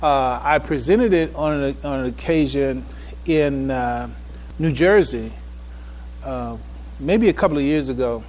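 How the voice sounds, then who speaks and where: A man giving a public address as a presenter, speaking in a halting way with repeated phrases and restarts. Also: medium pitch, a little mellow, a little hiss or hum. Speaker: conference speaker presenting a paper. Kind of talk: public address, informal preamble then reading a paper aloud. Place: public event before an audience.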